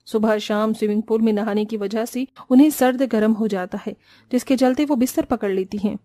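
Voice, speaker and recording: A woman's voice: on the high side, steady tone, brisk, warm tone, no echo. A woman narrating a scripted family cartoon story.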